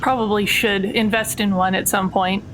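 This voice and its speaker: A woman's voice: medium pitch, steady tone, normal speed, fairly bright tone, slight background noise, low-quality recording. A woman chatting casually as a player in a tabletop game. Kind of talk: casual chat about a game character.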